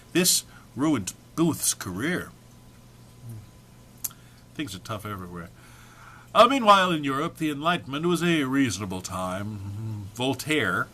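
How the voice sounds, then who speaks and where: A man's voice: medium pitch, pushed and bright. A man giving a dramatic comic reading of a humorous text, mock-serious in delivery with dry muttered asides. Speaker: reader.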